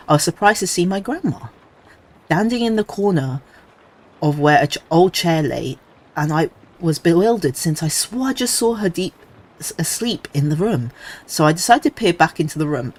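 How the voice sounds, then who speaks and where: Slightly high-voiced male streamer. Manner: relaxed, chatty, laughs between lines. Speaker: streamer reading stories. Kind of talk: reading creepy stories aloud, casual asides.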